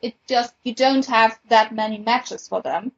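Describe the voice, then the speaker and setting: A woman's voice: on the high side, measured pace, creaky, dull audio. A woman explaining things casually as a moderator on a podcast panel.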